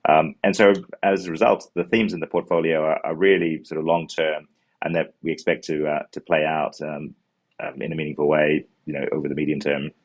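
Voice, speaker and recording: A man in his forties from New Zealand with a Kiwi accent, a fund co-manager pitching his fund over a video call from an office, full of ums and restarts. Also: flat delivery, fairly quick. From born in Sri Lanka, raised in New Zealand. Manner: many ums and uhs, restarts words while explaining. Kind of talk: investment pitch answering questions. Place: office with glass walls, indoors. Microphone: earbuds on a video call.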